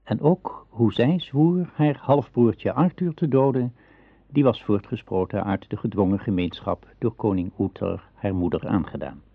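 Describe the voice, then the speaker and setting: A man's voice: on the low side, a little slow, creaky, husky, no room sound. A man narrating a radio drama as a scripted storyteller. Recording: dry, tinny old radio recording.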